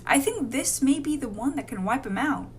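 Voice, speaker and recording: An actress plays an anxious, careful woman scientist in a horror radio play. Her voice sits fairly high and she speaks earnestly, with caution. Faint noise runs behind her. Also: expressive, normal speed. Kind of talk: amateur horror radio drama acting.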